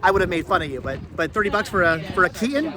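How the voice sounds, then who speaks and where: A man's voice: high voice, very animated, rapid-fire, a crowd in the background. A man chatting casually as a vlog host outdoors at a flea market, often repeating and doubling back on his phrases. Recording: noisy outdoor flea market location sound.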